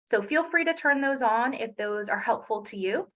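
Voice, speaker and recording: Higher-voiced woman hosting a webinar. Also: lively intonation, normal speed, fairly bright tone. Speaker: webinar host. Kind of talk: introducing a speaker.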